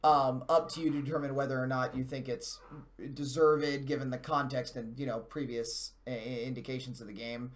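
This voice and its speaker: An American man co-hosting a video game news show, giving casual but wordy, halting commentary in measured, somewhat formal words. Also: medium pitch, little pitch movement.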